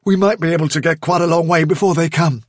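A man's voice: fairly high pitch, quick pace, breathy edge, dry recording, clean studio sound, voice pushed up. A man voicing a worried, respectful male rabbit in a storybook. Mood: anxious. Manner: deferential, worried. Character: anxious, respectful male rabbit urging retreat.

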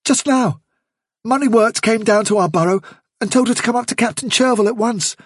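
Man voicing a high, frightened female rabbit. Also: brisk, breathy edge, no room sound, clean studio sound. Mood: panicked urgency. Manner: anxious, pleading. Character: frightened female rabbit, breathlessly urgent messenger.

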